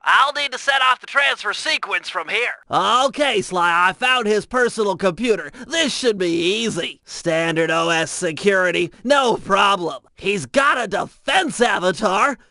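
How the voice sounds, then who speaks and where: An extremely high, creaky young turtle brainiac from a cartoon game. He is nasal and precise, an earnest planner who explains everything in wordy technical terms. The sound is very sharp and forceful. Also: animated, measured pace, few pauses, dry recording, heavily acted. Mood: matter-of-fact briefing. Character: nerdy, brainy young male turtle tech genius, earnest, excitable. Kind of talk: cartoon video game voice lines.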